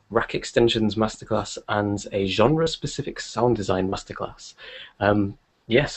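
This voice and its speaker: A man with a middle-pitched voice co-hosts a tech podcast. He goes through news items in a casual, conversational way. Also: a little mellow. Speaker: podcast co-host.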